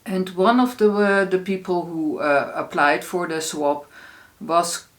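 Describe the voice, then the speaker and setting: A woman with a Dutch accent chats through her solo podcast in English, which is not her first language. She speaks at an unhurried pace, often stopping on "uh" and circling back to restart a phrase. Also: fairly low pitch, steady tone. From Netherlands. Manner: unhurried, many "uh" fillers and restarts. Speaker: podcast host. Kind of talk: casual solo podcast chat.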